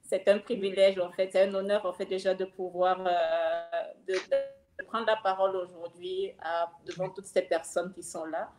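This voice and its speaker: A woman's voice: on the low side, fairly even intonation, normal speed, a little mellow, reverberant, faint music behind, low-quality recording. A middle-aged woman, a pastor's wife, introducing herself on a video call, hesitant and full of fillers and restarts. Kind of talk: guest self-introduction. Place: home room, video call. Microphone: webcam mic on video call.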